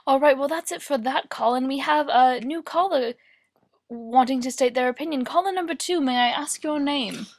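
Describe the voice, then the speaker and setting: Radio play host female actor: high voice, courteous, inquisitive, easily flustered. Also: normal speed. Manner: formal, courteous, hesitant when taken aback.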